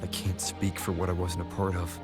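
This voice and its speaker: A man voicing a stoic, fair-minded hero in a video game, with plain, reserved and measured speech. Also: low-pitched, flat delivery, lightly acted. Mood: reserved, sober. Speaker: game character voice. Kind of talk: restrained dramatic game dialogue. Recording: loud music behind.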